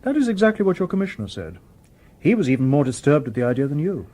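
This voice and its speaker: An actor playing a calm, courteous humanoid robot detective in a radio drama, with formal, precise phrasing. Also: male voice, a little high, fast talker.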